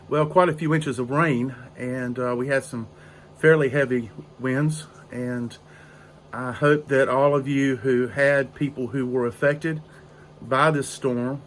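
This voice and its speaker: An older man, raspy and hoarse from a cold, rambling slowly through a casual story on his porch. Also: medium pitch, a little hiss or hum. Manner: slow, rambling, with frequent fillers. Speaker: pipe-smoking video host. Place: outdoor porch in drizzling rain.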